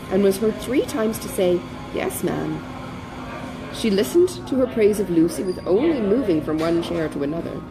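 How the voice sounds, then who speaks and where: Animated woman narrating a classic novel. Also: a little high, poor audio. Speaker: audiobook narrator. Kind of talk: expressive scripted literary narration.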